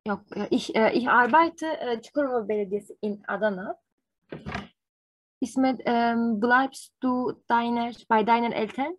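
A woman in her twenties with a Turkish accent, practicing a German speaking exam, pausing often with fillers. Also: on the high side, no echo, rough recording. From Turkey. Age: 20s. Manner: hesitant, many fillers. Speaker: nurse and language learner. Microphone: video call.

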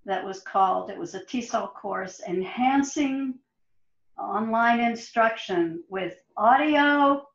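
A woman's voice: a little high, takes it slowly, gravelly. A woman who teaches at a university, recalling an online course in a chatty storytelling way on a video call. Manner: storytelling with light laughs. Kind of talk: casual video call chat. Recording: echoey lo-fi call audio.

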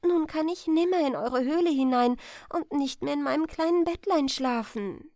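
A woman as a plaintive young fairy-tale maiden, high-pitched. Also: moderate pace, a little mellow, no room sound, clean studio sound. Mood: plaintive, helpless. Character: young woman Marie, sweet, forlorn fairy-tale heroine.

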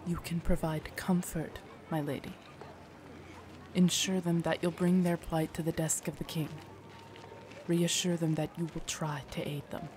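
A woman playing a loyal, gentle royal knight in an audio roleplay, formal, deferential and soothing in her measured speech. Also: low-pitched, little pitch movement, background noise. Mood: solemn, encouraging. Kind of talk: immersive audio roleplay acting.

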